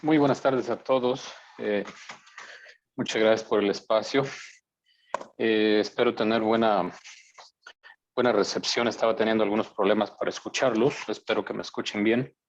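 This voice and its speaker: A man's voice: lowish voice, fairly even intonation, normal speed, pauses often, slight background noise. A man from Guanajuato, Mexico, speaking over a video call as a forum participant, polite and hesitant with many fillers. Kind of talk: remarks over a remote call. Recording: dull lo-fi call audio.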